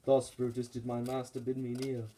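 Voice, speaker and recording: A man's voice: mid-range voice, completely flat delivery, moderate pace, warm tone, natural voice, low-quality recording. A man playing a dutiful, humble Roman servant in a puppet-show Shakespeare, delivering formal verse deferentially.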